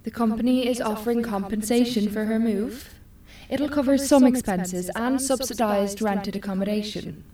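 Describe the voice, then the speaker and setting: A woman's voice: fairly high pitch, moderate pace, dark tone, echoey room, recorded from a distance, lightly acted. A woman playing a prim, orderly, controlling household AI in an audio drama, speaking in formal, precise sentences. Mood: polite, measured.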